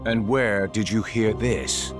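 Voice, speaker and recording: Middle-aged game schemer, calm, calculating, very measured pace, music underneath. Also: male voice, lowish voice. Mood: probing. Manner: measured, deliberate, probing. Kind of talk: cinematic video game voice acting.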